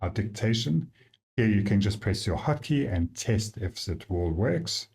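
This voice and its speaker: A man with a South African accent, the app's developer, casually walking through his software and thinking aloud with frequent fillers. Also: fairly low pitch, animated, a little slow, gravelly. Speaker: app developer giving a demo.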